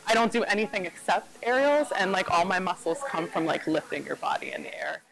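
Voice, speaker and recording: A woman's voice: on the low side, brisk, vocal fry, poor audio. A woman in her forties from Pennsylvania, an aerial arts student, speaking candidly in a casual interview. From Pennsylvania, USA. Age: 40s.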